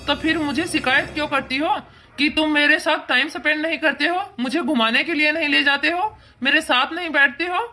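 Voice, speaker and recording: A woman's voice: sits high, brisk, pushed and bright, a little hiss or hum. A woman voicing a domineering, scornful young husband, bossy and condescending, in cartoon moral-story acting.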